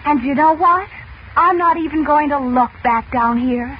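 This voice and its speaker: A woman's voice: sits high, moderate pace, natural voice. An actress playing an eager, chatty teenage girl in a 1940s radio drama. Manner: bubbly, excitable. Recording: muffled old radio broadcast with hiss.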